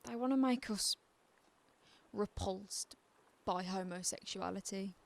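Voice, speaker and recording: A woman's voice: medium pitch, close to an everyday voice. A woman playing a defiant, bitter asylum patient in a radio drama, with a probing, wry edge to her talk. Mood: reluctant, wounded.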